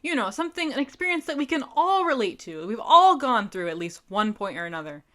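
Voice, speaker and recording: A woman co-hosting a casual panel discussion in an animated, playful manner. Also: on the high side, fairly quick. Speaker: podcast co-host.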